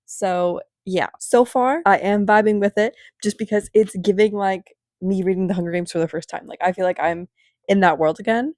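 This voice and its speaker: A woman's voice: mid-range voice, a little mellow, dry recording, clean studio sound. A young woman with a congested voice from a cold, chatting casually and rambling as she recaps a book at home. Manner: fast, bubbly and rambling. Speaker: book vlogger. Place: at home in front of a bookshelf.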